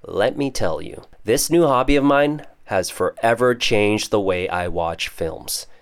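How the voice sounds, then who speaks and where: A man's voice: fairly low pitch, expressive, unhurried, smooth. A young man with a Canadian accent hosting a podcast, casually explaining English idioms with jokes. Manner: casual, joking, explains terms. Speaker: podcast host. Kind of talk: scripted podcast monologue teaching English idioms.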